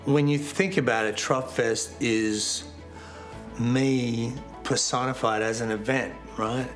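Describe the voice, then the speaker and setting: A man's voice: medium pitch, fairly even intonation, takes it slowly, faint music behind. A man speaking reflectively in a documentary interview.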